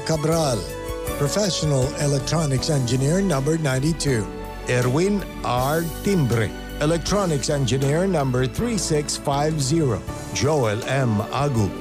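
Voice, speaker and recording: A man reading a formal scripted station announcement in a measured, carefully enunciated way. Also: medium pitch. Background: background music.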